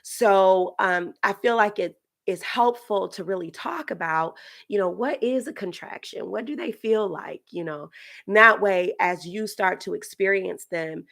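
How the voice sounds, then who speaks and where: A woman with a raspy voice from getting over a cold, giving a casual, chatty childbirth lesson with many fillers. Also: mid-range voice, measured pace, a bit bright. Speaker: childbirth educator. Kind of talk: casual instructional podcast talk.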